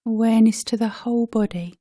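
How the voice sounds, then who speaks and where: Calm lady doing a guided body scan, soft mellow voice, really dry recording, lots of pauses. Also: a little high, dry recording. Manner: calm, soothing, gentle delivery with long pauses. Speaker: mindfulness and yoga teacher.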